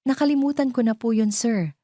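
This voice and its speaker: A woman's voice: on the high side, a little fast, dark tone, no room sound, studio quality, voice pushed up, close to an everyday voice. A woman voicing a weary, guarded young female servant in an audio drama, curt, cold and polite.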